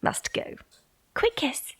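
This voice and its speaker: Woman acting a slow, intense romantic young lover. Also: in a whisper, close to an everyday voice. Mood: tender, hurried. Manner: declarative, romantic, theatrical. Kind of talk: poetic audio drama acting.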